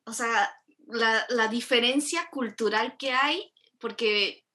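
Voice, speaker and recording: A woman's voice: on the high side, takes it slowly, pushed and bright. A woman from Mexico, hosting a casual interview and rambling animatedly with plenty of self-corrections. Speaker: host.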